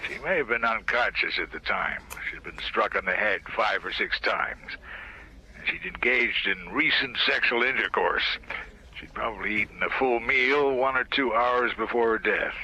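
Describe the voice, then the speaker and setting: Clinical coroner, low pitch, very sharp forceful tone, dry manner, radio drama. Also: male voice, slight background noise, poor audio. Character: matter-of-fact police medical examiner reporting autopsy findings.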